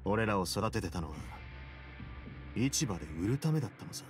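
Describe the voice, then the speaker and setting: A man playing a cool, brooding young fortress warden in a game, speaking in a measured, grave, self-possessed way. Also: on the low side, big pitch swings, background noise. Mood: grim, bitter. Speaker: game character. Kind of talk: dramatic game dialogue.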